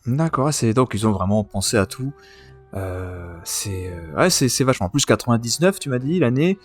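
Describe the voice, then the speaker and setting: A man's voice: mid-range voice, lively intonation, moderate pace. A man chatting casually and enthusiastically as host of a retro gaming podcast. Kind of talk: casual podcast chat about retro video games. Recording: music playing behind the voice.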